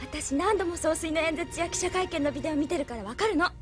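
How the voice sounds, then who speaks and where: A woman's voice: very high-pitched, fast. A woman playing an eager, idealistic young crew member, polite and earnest, in an audio drama. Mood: fervent. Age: young adult. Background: faint music.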